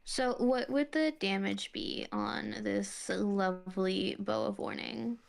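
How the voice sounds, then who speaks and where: A woman's voice: medium pitch, slow, gravelly. A woman playing a tabletop game, talking casually about the rules.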